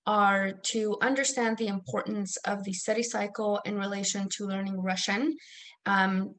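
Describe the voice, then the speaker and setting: A young woman born in Mexico with Spanish as her first language, presenting a study-skills workshop as an academic coach in an organized, instructional way. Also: mid-range voice. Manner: organized, instructional, frequent um fillers.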